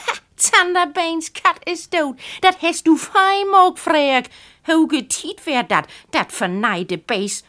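A woman voicing a bossy, boisterous aunt in a storybook reading, with a northern German Low German accent and exclamatory delivery. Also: slow pace, heavily acted. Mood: indignant, gleeful. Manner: exclamatory, forceful. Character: feisty, loud, bossy aunt, boisterous and indignant. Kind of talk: storybook character voice.

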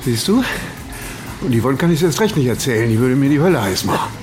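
A man's voice: mid-range voice, big pitch swings, fast talker, lightly acted. Actor playing a wistful, grumbling middle-aged man, music behind. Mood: agitated, confiding. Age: 50s. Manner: hesitant, thinking aloud with repeated words. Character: ageing male editor, wistful, grumbling, fussily articulate. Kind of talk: naturalistic German radio drama dialogue.

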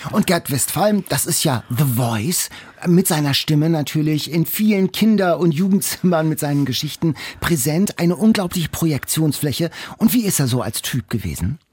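Male cohost, casual banter, kinda high husky voice, really animated. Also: normal speed. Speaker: podcast co-host.

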